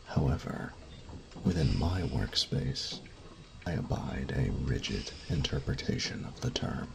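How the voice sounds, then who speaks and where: A man playing a grumpy, fastidious steampunk artificer-mage in an audio roleplay, speaking formally and precisely with pedantic, halting phrasing. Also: extremely low pitch, animated, creaky, full character voice. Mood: stiff, matter-of-fact. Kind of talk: scripted roleplay audio drama, addressing the listener.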